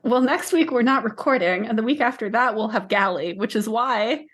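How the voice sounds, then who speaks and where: A woman's voice: on the high side, moderate pace. A woman co-hosting a podcast in casual chat, matter-of-fact with a dry, teasing streak. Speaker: podcast co-host.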